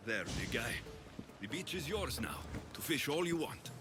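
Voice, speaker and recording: An actor playing a bold, wry mercenary warrior hero in a video game. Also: male voice, medium pitch, sing-song, moderate pace, over music, lightly acted. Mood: steady, direct. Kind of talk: video game character acting.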